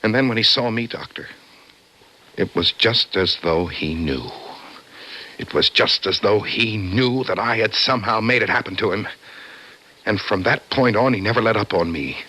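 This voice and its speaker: An actor playing an obsessed, vengeful office worker, intense and brooding, in an old-time radio suspense drama. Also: male voice, lowish voice, normal speed, bright, forceful tone, rough recording. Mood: agitated, pleading. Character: obsessed, vengeful office worker confiding his dreams to a doctor. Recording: vintage radio drama, faint music behind.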